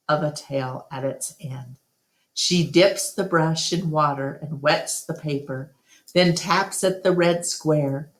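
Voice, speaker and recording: A woman reading a children's book aloud as a storytime narrator, with deliberate, expressive phrasing. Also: sits low. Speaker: storytime reader. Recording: roomy, echoey home recording.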